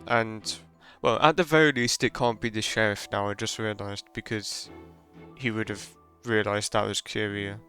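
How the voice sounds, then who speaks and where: A man's voice: on the low side, steady tone, normal speed, pushed and bright, faint music behind. A man streaming a game, thinking aloud and speculating in casual live commentary.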